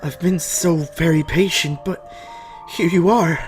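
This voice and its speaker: A man's voice: fairly high pitch, steady tone, faint music behind, pitched above the performer's own voice, close to an everyday voice. Man voicing eerie, plaintive ghostly young woman. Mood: yearning. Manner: plaintive, halting, repetitive.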